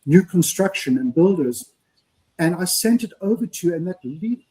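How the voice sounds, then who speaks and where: A man's voice: high-pitched, expressive, moderate pace, warm tone, poor audio. A man co-hosting a live show, speaking earnestly in a speechifying way and often adding "right?". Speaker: podcast co-host. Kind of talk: casual live-stream chat.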